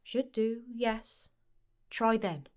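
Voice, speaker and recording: A woman's voice: on the high side, a little mellow. A woman playing a plain-spoken, kindly village shopkeeper in an audio story, talking informally. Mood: dry. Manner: unhurried, informal, colloquial. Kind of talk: acted dialogue in audio story.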